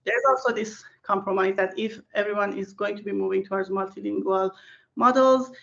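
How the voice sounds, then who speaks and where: A woman's voice: medium pitch, fairly even intonation, brisk, vocal fry, a bit bright, highs cut off. A woman whose first language is Persian, a research scientist answering interview questions in a thoughtful way with many fillers and restarts, heard over a video call.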